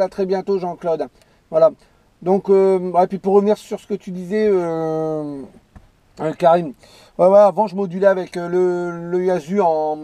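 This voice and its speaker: A man's voice: high-pitched, warm tone, no room sound. A man chatting casually as an amateur radio operator, rambling and repeating his phrases. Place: in or beside a parked car outdoors.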